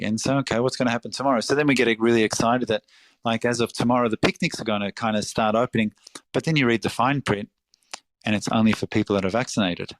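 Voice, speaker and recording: A man's voice: fairly low pitch, no room sound. A middle-aged Australian man, a restaurateur answering podcast interview questions, talking passionately and bluntly, swearing freely and restarting often. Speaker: restaurateur guest.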